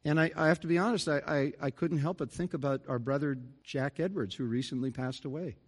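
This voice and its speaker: A man's voice: fairly high pitch, a little fast. A middle-aged man, a pastor delivering an earnest, conversational sermon with folksy asides. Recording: reverberant church hall.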